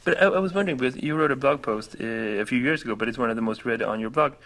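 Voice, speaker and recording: A man's voice: mid-range voice, very fast, a bit bright. A man interviewing a guest, asking questions conversationally and often murmuring agreement. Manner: engaged, frequent back-channel agreement, laughs easily.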